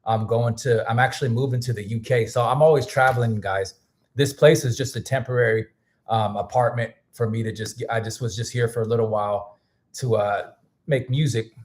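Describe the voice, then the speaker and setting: A man of middling pitch talks casually to his livestream viewers, rambling and restarting his sentences. His voice sounds a little worn and hoarse. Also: fairly even intonation, brisk, a little mellow. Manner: loose, rambling, thanks viewers, many restarts. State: losing his voice. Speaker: music producer and livestream host.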